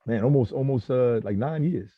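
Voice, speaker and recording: A voice actor from Southern Maryland, chatting loosely on a video call and laughing, with lots of fillers. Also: male voice, medium pitch, moderate pace, phone-quality sound, a crowd in the background. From Southern Maryland, USA. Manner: laid-back, laughing, many fillers. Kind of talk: casual video call chat.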